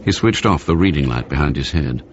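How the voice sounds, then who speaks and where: A man's voice: rumbling low, lively intonation, brisk. A man narrating a radio thriller in a scripted, storytelling style. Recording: slightly noisy, muffled radio broadcast recording.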